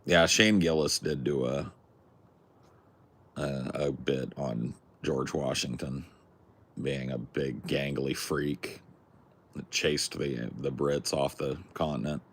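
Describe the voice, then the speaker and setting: A man's voice: a bass voice, fairly even intonation, slow pace, fairly bright tone. A young man from California with a Californian accent, chatting casually in a rambling, jokey, crude way. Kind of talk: casual chat.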